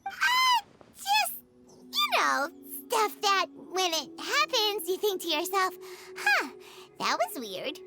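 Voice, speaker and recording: A tiny, squeaky girl sprite chirps with curiosity in a video game. The pitch is extremely high and swings up and down in a very lively way. Strong music plays behind the eager, exaggerated voice. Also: slow, exaggerated voice. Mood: awkward, fumbling. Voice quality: squeaky, childlike.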